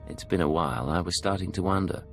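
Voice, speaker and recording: Very deep, gravelly male secret agent. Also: quick pace, soft background music. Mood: calm. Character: cool, bitter male secret agent in a space opera. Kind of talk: cinematic video game acting.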